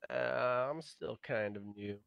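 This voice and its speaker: A man's voice: medium pitch, slow pace, gravelly, a bit bright, clean tone. A man talking casually as a passenger in online roleplay, over game voice chat.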